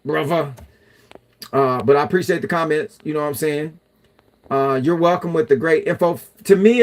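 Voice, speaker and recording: A man's voice: fairly high pitch, darkish tone. A man hosting a livestream, casually reading viewer comments and chatting back in with a loose, rambling manner. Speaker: livestream host reading chat.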